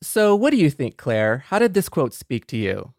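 A man's voice: a little high, sing-song, normal speed, dry recording, studio quality. An American man from Detroit co-hosting a podcast in a casual, conversational style. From Detroit, United States. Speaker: podcast co-host.

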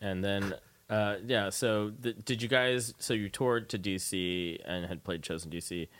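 A man's voice: lowish voice, a little slow, a bit bright, clear, resonant tone. A man hosting a casual interview, putting tentative, loosely phrased questions to his guest. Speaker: radio show host, interviewer.

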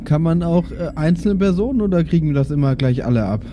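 A man's voice: fairly high pitch, lively intonation, fast talker, mellow, soft background music, natural voice. A man playing a wary papa bounty hunter with a dry, hesitant, placating manner in an improvised audio game.

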